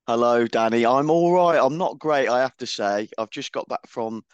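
A man with a croaky voice, co-hosting a podcast in loose, casual chat. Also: medium pitch, normal speed, no room sound, dull audio. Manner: chatty, loose, with many restarts. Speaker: co-host.

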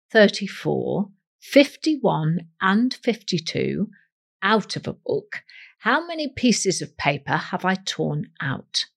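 Husky, animated woman quiz host. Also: lowish voice. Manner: playful, addresses listeners directly. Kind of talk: scripted quiz presenting.

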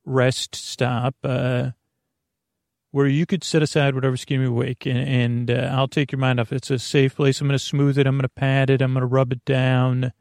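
A man with a creaky voice hosting a bedtime podcast, soothing and whimsical, meandering and halting as he interrupts himself. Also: mid-range voice, little pitch movement, a little mellow, dry recording, clean studio sound. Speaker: podcast host. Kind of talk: rambling bedtime monologue.

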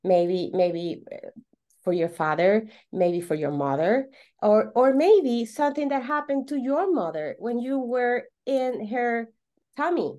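Venezuelan lady, spanish accent, highish voice, explaining stuff on a podcast, kinda halting, repeats words a lot. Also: mellow. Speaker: podcast guest, holistic coach. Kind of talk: explanatory interview talk.

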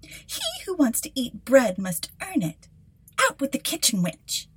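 A woman voicing a vain, cruel young fairy-tale stepsister with a sneering, drawn-out delivery. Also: a little high, very animated, husky, no room sound, voice pushed up, heavily acted. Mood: scornful.

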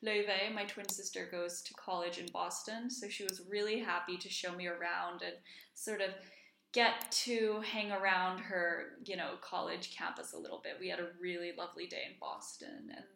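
A woman's voice: lowish voice, steady tone, moderate pace, creaky, sharp tone. A young adult woman from Iceland chatting casually and emphatically as a podcast co-host. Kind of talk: casual chat. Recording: roomy, echoey sound.

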